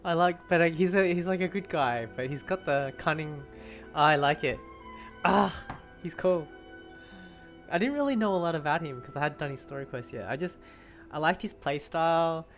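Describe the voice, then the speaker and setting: A woman's voice: low voice, normal speed. A woman giving casual, chatty, informal commentary while playing through a game. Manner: chatty, informal, frequent giggly reactions. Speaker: gamer reacting to a story quest. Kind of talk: casual live playthrough commentary. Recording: game music behind the voice.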